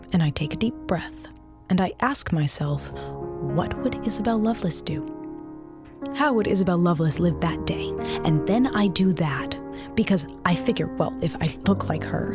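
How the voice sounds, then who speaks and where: A woman's voice: lowish voice, lively intonation, moderate pace, music in the background, lightly acted. A woman playing a hard-edged, wounded space officer in an audio drama, speaking with sarcastic, confrontational emphasis. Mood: anguished, bitter.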